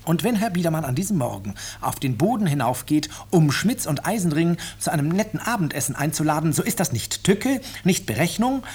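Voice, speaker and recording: An actor playing a polite, probing, drily ironic narrator in a 1950s radio drama, speaking in a measured, urbane way. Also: male voice, a little high, very animated, a little mellow, husky, exaggerated voice. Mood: ironic, narrating. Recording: noisy 1950s radio recording with clicks.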